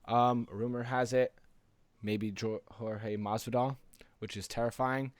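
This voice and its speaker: A man co-hosting a podcast, chatting informally and playfully in an animated way. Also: medium pitch, a little slow, dry recording. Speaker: podcast co-host. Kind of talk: casual chat.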